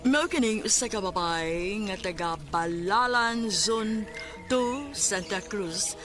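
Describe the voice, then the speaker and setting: Slow-paced woman radio drama announcer. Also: mid-range voice. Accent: Cebuano Filipino. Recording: studio voice over faint music.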